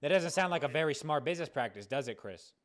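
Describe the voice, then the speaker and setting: A man's voice: on the high side, expressive, quick pace, close to an everyday voice. An American man playing a stern, bullying adult who officiously threatens a kid with a lawsuit in a prank call. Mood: officious, pressing. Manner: officious, pressing, repetitive.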